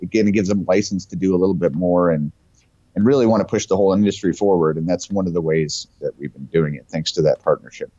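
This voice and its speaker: Muffled low-voiced man with a cold. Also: fast, mellow, no echo. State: has a cold. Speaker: guest company representative. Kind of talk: casual tech discussion. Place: remote call over the internet. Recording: muffled, dull call audio.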